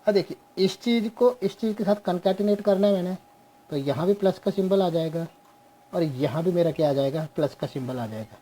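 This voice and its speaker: A young man teaching a programming class on screen, slowly walking through code step by step and mixing Hindi with English. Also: high voice, mellow. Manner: slow, explains step by step, mixes Hindi and English. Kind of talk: screen-share coding lesson.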